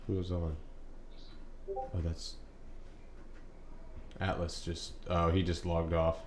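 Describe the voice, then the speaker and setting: Deep-voiced guy rambling through market calls, lots of ums, little bit of hiss behind. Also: level tone, takes it slowly, darkish tone. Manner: casual, rambling, many ums and uhs. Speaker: stock trading streamer. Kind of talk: live market commentary. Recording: echoey room, faint background noise.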